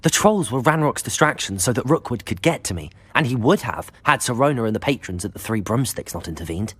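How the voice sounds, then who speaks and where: An actor playing an earnest young wizard student with a breathy voice, polite and sincere, in a fantasy game. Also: male voice, medium pitch, animated, a little fast, a bit bright. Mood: urgent.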